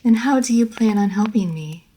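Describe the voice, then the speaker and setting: A woman playing an immortal vampire lady of a manor in an audio roleplay, formal and measured, poised and teasing, speaking straight to the listener. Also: on the high side, lively intonation, dark tone, husky. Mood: shy, confiding. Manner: formal, measured, old-fashioned genteel phrasing. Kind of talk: scripted roleplay audio story, addressed to the listener.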